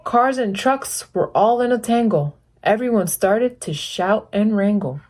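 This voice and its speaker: A woman reading a picture book aloud as a volunteer storyteller, animated and putting deliberate emphasis on sound words. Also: medium pitch. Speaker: volunteer children's book reader.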